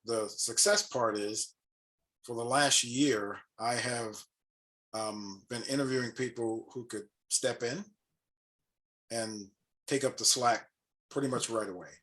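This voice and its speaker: A man gives an update on a video call, speaking in a measured way with a few restarts. His pitch is middling for a man. He sounds like a tax planning advisor talking to peers. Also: a bit bright. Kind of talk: casual online networking call.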